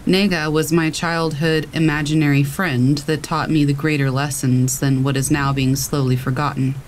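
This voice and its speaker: A man's voice: a little high, normal speed. A man reading scary stories as a scripted narration. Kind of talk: scripted horror story narration. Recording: steady rain noise over voice. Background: rain sound.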